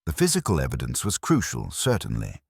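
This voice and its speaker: A man's voice: rumbling low, wildly swooping pitch, brisk, creaky, husky, no room sound, studio quality, full character voice. A man voicing a reserved, curious police inspector with a formal, questioning manner in a mystery audiobook.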